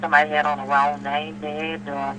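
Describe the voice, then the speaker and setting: A woman's voice: low voice, level tone, brisk. A woman handling a customer complaint by phone, firm and plain-spoken, restating her points. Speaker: store employee taking a customer complaint. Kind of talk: customer service phone call. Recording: noisy telephone line with hum.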